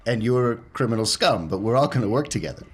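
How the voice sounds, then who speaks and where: A man playing a tabletop role-playing game, talking casually in a firm, deliberate, argumentative way. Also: mid-range voice, expressive, slightly rough. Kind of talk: casual in-character and table talk.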